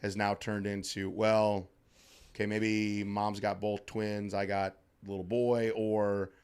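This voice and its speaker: A man co-hosting a podcast in casual chat, his voice scratchy and hoarse from allergies, upbeat and joking with lots of fillers. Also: fairly low pitch, flat delivery, takes it slowly, darkish tone. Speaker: podcast co-host. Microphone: studio microphone.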